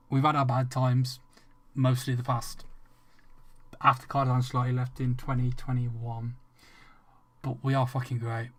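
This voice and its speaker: Rambling, swearing English streamer man. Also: mid-range voice. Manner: rambling, swearing freely, frequent restarts. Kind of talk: casual livestream chat with viewers.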